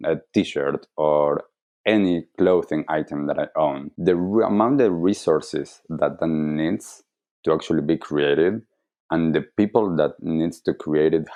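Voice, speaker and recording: A man with an Argentine accent giving reflective interview answers as a wellness coach, explaining deliberately and earnestly. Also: very deep voice, mellow. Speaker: wellness coach, podcast guest.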